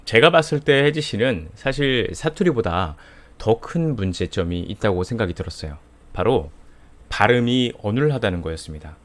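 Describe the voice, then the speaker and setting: A young man from Seoul hosting a voice channel, gently retelling a viewer's story and giving his view. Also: medium pitch. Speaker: host of a voice channel. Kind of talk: storytelling monologue to camera.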